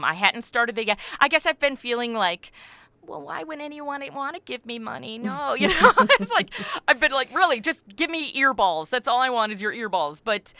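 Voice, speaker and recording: Bright, clear-voiced middle-aged woman. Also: mid-range voice, no echo. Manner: animated, self-deprecating, acts out quoted remarks. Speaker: podcast creator and voice actor, interview guest. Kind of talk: casual interview chat.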